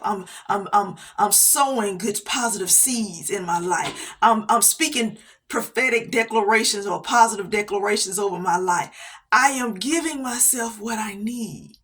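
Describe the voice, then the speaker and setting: A woman giving a motivational faith talk, preaching with repeated phrases and soft laughs between points. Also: fairly high pitch. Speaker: inspirational speaker.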